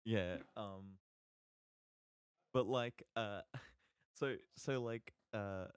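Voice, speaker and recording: A man co-hosting a podcast in casual chat, halting as he searches for his words. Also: on the low side, clean tone, dry recording, studio quality. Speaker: podcast co-host.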